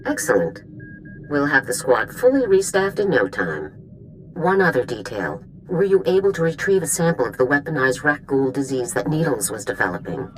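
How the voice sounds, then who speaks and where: A woman playing a stern female military commander giving brisk orders over a holo link in a video game. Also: a bass voice, very animated, slight background noise, rough recording. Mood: commanding. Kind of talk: video game cutscene acting.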